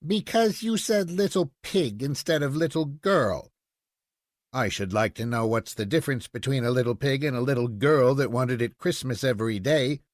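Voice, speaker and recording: A man's voice: mid-range voice, moderate pace, no echo, clean studio sound, lightly acted. A man voicing a bossy, insistent little girl in a storybook, with a prim, scolding manner. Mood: indignant.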